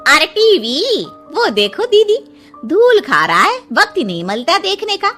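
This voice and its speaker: A woman's voice: extremely high pitch, huge pitch swings, unhurried, pushed and bright, soft background music, exaggerated voice. A woman voicing a cheerful, contented middle-aged mother-in-law in an audio story, chatty and sweet.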